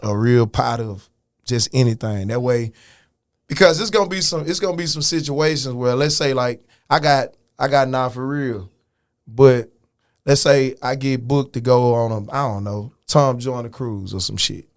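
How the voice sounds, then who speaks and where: A man talking casually as a rapper guest on a podcast, frank and profane, with loose restarts and a relaxed, unhurried way of explaining himself. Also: mid-range voice, dry recording, clean studio sound. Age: adult, older than his early years. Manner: laid-back, frank, profane, restarts and "you know what I'm saying".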